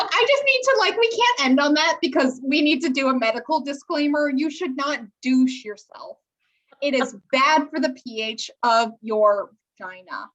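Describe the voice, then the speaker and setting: A young American woman with a clearly high voice co-hosts a podcast. She chats casually, joking in a wry, informal way and swearing freely. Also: fairly bright tone, low-quality recording. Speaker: podcast co-host. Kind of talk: casual chat.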